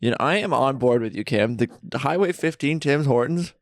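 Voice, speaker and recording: A young man speaks at a middle pitch with a gravelly, fry-laden edge. He rambles through anecdotes and pokes fun at himself. The sound is close and dry. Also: a little fast, dry recording. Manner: rambling storyteller, self-mocking. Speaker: podcast co-host. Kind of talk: casual podcast chat. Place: friend's basement.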